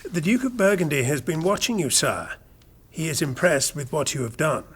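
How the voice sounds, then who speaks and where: A man playing a shrewd, deferential royal chamberlain in a historical audio drama, courtly and husky. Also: fairly high pitch, lively intonation, normal speed, a bit bright.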